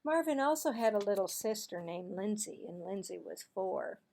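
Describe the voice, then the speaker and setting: Creaky-voiced woman reading a children's story. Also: mid-range voice, animated, normal speed, dark tone. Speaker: teacher-style read-aloud narrator.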